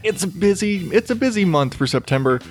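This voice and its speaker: A man talks through his own podcast with a soothing, even voice and a loose, rambling way of speaking. He swears freely and keeps things casual. Faint music sits quietly underneath him. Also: sits high, brisk. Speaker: podcast host. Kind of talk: casual solo podcast chat.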